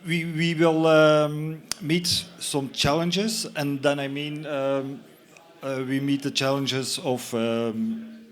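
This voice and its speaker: Man, English, slow, halting, filler-heavy, conference talk. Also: fairly high pitch, fairly even intonation. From Belgium. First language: not English. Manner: halting, many fillers and restarts. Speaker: conference speaker. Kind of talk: presentation talk. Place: conference lounge in a stadium.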